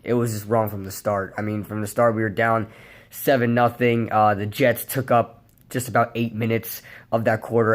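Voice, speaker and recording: Hoarse young man recapping a game. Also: on the low side. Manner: rambling with frequent fillers. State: hoarse from yelling. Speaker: sports fan vlogger. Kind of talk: casual post-game recap.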